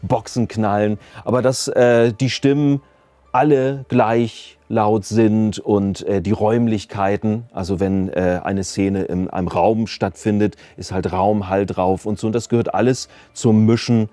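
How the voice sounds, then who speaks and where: Mellow, low-voiced man. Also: steady tone, measured pace, no echo. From Germany. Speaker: sound designer. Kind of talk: casual explanatory interview. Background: music.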